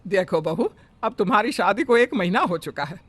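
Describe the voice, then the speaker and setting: A bossy middle-aged mother-in-law, voiced by a man, nagging in a high, bright voice. She scolds and complains constantly in a family drama. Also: expressive, normal speed. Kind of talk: Hindi family drama voice acting.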